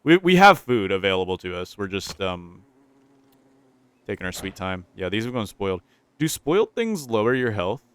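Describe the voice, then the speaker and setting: A man's voice: fairly low pitch, very animated, normal speed, a bit bright, clear, resonant tone. A young man with a stuffy, nasal voice, casually commentating his gameplay, thinking aloud and repeating himself. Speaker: gaming YouTuber.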